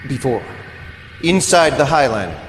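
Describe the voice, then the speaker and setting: A man's voice: mid-range voice, sing-song, unhurried, a bit bright. A man playing a proud, resentful soldier son in a video game cutscene, speaking formally and stiffly to his father. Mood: wary. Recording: hall reverb, faint noise.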